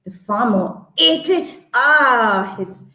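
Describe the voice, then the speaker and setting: A woman's voice: a little high, animated, takes it slowly, pushed and bright. A woman telling a folktale live in a theatrical way, repeating phrases for effect and checking in with her listeners. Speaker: storyteller. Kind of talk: live interactive folktale storytelling with songs and actions. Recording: roomy, echoey live-stream sound.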